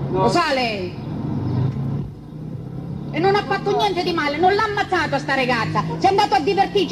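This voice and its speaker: A middle-aged woman with a central Italian accent, arguing in a confrontational, colloquial way. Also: very high-pitched. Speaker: mother of a defendant. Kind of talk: heated spontaneous argument. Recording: very noisy old documentary street sound.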